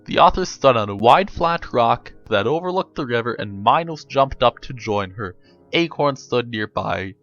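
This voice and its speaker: A man giving a dramatic reading of a story, with emphatic phrasing and deliberate pauses. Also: medium pitch, lively intonation, a bit bright, lo-fi sound, faint music behind. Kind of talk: dramatic audiobook-style narration with pictures.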